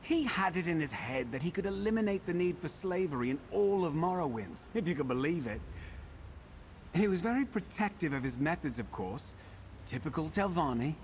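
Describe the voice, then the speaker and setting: An actor playing a fussy, pampered defector in a video game, wry and chatty with a faintly aggrieved manner. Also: male voice, a little high, lively intonation, normal speed, slight background noise, close to an everyday voice. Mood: disdainful, relieved. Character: fussy pampered defector from a wizard house, put-upon. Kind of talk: video game character dialogue.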